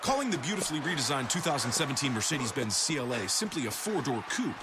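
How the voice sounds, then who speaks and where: A man reading a scripted car commercial in a polished, smooth, persuasive way. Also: mid-range voice, sing-song, quick pace, over music. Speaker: ad announcer.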